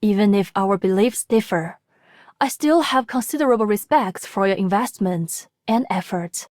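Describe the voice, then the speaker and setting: A woman's voice: mid-range voice, expressive, normal speed, a little mellow, dry recording, natural voice. An actress voicing a formal, reserved, dignified woman investigator in a video game. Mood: reserved, respectful. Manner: formal, archaic wording. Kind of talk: video game character voice lines.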